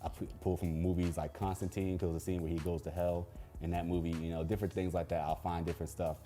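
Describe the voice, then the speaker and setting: A young man from Baltimore who directs films and music videos, answering questions in a relaxed, laid-back way with many pauses and fillers. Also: low-pitched. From Baltimore, Maryland. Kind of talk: casual Q&A chat.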